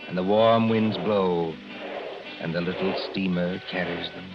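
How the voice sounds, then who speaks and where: A man narrating in dramatic, measured 1940s radio style as host of a drama program. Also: low voice, fairly even intonation, vocal fry. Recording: muffled 1940s radio recording. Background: music.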